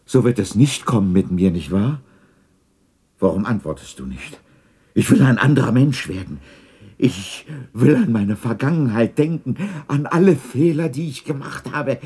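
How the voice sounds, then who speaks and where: An actor playing a gruff old miser full of anguish and repentance, husky and emphatic, in a 1960s German radio play. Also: male voice, mid-range voice, lively intonation, moderate pace, dark tone. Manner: dramatic, emphatic.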